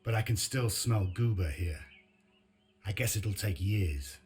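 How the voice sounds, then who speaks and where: An actor playing a calm resistance commander in a video game cutscene, briefing in a measured, matter-of-fact way. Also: male voice, fairly low pitch, faint music behind. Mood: calm, resolute. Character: calm male resistance commander planning military attacks.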